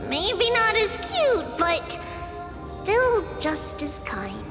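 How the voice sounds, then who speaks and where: Childlike spirit girl: squeaky-high, lilting, affectionate game voice, reverberant over music. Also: very animated, extremely slow, full character voice. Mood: fond, playful. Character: sweet, cheerful childlike female spirit, small and fondly encouraging. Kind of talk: scripted fantasy game voice acting. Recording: long reverb, music behind.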